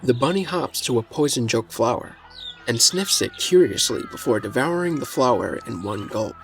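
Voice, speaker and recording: A man's voice: medium pitch, expressive, moderate pace, a little hiss or hum. A man narrating a scripted fantasy story in the present tense as its narrator. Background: faint music.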